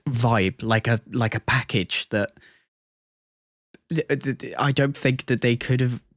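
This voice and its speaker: A man's voice: medium pitch, no room sound, studio quality. A man hosting a livestream with casual reaction commentary, thinking aloud in a hesitant way and repeating words as he goes. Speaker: livestream host.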